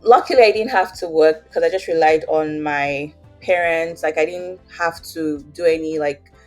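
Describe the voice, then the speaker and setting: A woman's voice: sits low, moderate pace, soft background music. A young woman with a Nigerian accent, telling her story as an interview guest in a chatty way, with many fillers. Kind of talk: casual interview, personal story.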